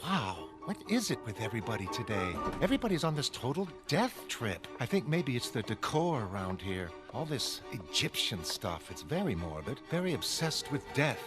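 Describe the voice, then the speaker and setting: A man's voice: mid-range voice, very animated, moderate pace, hardly any pauses, close to an everyday voice. A man voicing a flippant, chatty female secretary with a breezy, wisecracking manner in comic-book drama dialogue. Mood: breezy, puzzled. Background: music.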